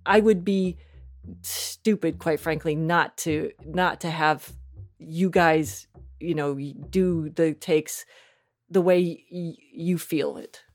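A woman's voice: sits low, steady tone, slow pace. A woman chatting casually and emphatically as an interview guest about her creative work. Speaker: interview guest, audio fiction writer and producer.

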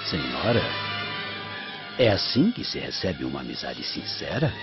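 Swooping, arrogant Roman prefect villain. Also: male voice, rumbling low, huge pitch swings, measured pace, heavily acted. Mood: smug, menacing. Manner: pompous, insinuating. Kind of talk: radio drama acting. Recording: muffled radio drama with faint music.

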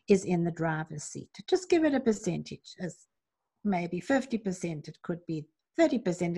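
Slow, gravelly woman guiding a meditation. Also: on the low side. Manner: soft, gentle, unhurried questioning with long pauses. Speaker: parenting coach guiding a reflection exercise.

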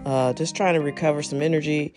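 A woman's voice: deep voice, fairly even intonation, fast talker, darkish tone, soft background music. A middle-aged woman hosting her own show, talking off the cuff with frequent laughs and wandering asides. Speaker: podcast host. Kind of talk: unscripted solo talk.

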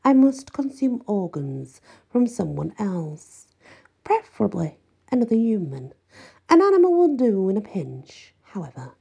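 A woman reading an audiobook, voicing a smug, unbalanced ancient male mummy with slow theatrical delivery. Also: medium pitch, very animated, vocal fry, mellow, slightly rough, voice pushed up, exaggerated voice. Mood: sinister glee. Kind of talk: audiobook character voice.

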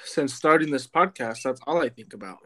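A man's voice: medium pitch, pushed and bright. A man in his late twenties co-hosting a casual podcast, easygoing and self-mocking, often laughing. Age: 20s. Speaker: podcast co-host.

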